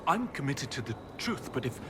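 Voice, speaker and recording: An actor playing an excitable, earnest scholar in a video game, talking in a fussy, polite, stammering way. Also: male voice, mid-range voice, normal speed, noisy background, lightly acted. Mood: flustered, anxious.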